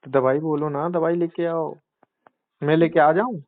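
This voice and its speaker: A man's voice: on the high side, fairly even intonation, moderate pace, dry recording. Young man chatting on a tinny phone line. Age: young adult. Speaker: boyfriend on a personal phone call. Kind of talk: casual phone conversation. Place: phone call recording. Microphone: telephone.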